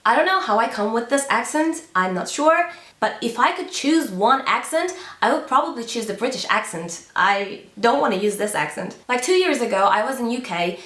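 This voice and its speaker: Female vlogger, 20s, native Turkish speaker, casual and frank, slightly high voice, roomy echo. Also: a bit bright. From Turkey. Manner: chatty, candid, with frequent fillers and non-native grammar. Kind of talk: casual talk to camera.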